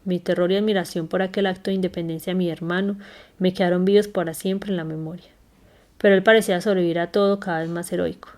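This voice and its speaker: Woman reader, lowish voice, measured memoir reading with occasional self-corrections. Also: steady tone, darkish tone. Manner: unhurried, careful reading with small slips and self-corrections.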